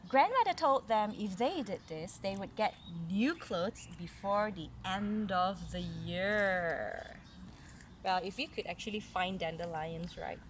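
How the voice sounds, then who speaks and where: A woman reads a story aloud as a mother to a young child, adding gentle conversational asides. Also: medium pitch, measured pace. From Malaysia. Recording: noisy recording with background sounds. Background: ambient noise, young child nearby.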